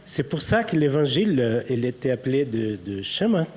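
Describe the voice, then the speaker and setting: A Brazilian man preaching in a language that is not his first, speaking in short phrases and pausing for an interpreter. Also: mid-range voice, very animated, a little mellow. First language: Portuguese. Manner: playful, reads tongue twisters aloud. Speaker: guest preacher. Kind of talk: sermon.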